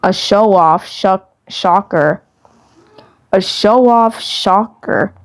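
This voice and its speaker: A woman's voice: medium pitch, extremely slow. A woman voicing a scornful, sarcastic female cartoon alien who scoffs and mutters, in an amateur fandub.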